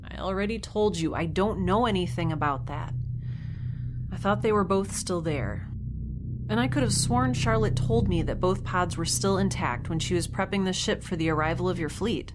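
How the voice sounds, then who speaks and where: A woman's voice: fairly low pitch, moderate pace, background noise, lightly acted. An actress playing a weary, sardonic young woman held captive in space in an audio drama, with a wry, rambling manner. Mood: defensive, sardonic. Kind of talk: audio drama monologue and dialogue.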